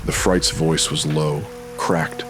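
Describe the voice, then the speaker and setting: A man narrating a pulp noir audio drama in dramatic, brooding, measured phrases. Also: rumbling low, huge pitch swings, music in the background.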